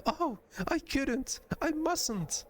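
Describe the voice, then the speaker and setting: Game role: sweet, timid little young woman, played by a man, high and swooping, gushing and hesitant. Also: huge pitch swings, moderate pace, vocal fry, exaggerated voice. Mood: shocked, apologetic. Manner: hesitant, gushing, exaggerated when scolding.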